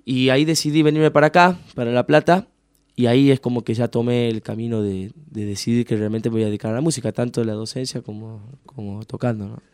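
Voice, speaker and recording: A man's voice: mid-range voice, fairly even intonation, fast talker, a little mellow, clean tone, dry recording, studio quality. A man from Tucumán with an Argentine accent, a musician in his thirties, talking casually in an interview and rambling with many fillers and restarts. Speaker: musician guest.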